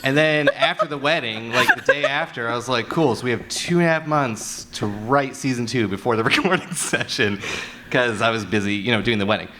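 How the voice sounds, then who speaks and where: A man's voice: medium pitch, fairly quick, pushed and bright. A man telling an anecdote as an audio drama writer on a live convention panel. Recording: noisy convention room panel.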